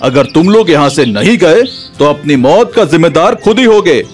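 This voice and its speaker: A man's voice: on the high side, animated, normal speed, faint music behind, lightly acted. A man voicing a proud, defiant tribesman guarding something sacred, speaking in a stern, declamatory way.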